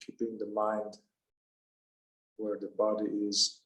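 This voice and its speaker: A man leading a guided meditation, calm and unhurried, speaking in short phrases with long pauses between them. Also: fairly low pitch, echoey room, poor audio.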